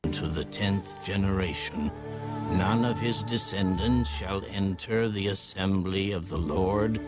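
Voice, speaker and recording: A man's voice: sits low, a little slow. A man reading scripture aloud as a solemn, scripted audio narration. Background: music.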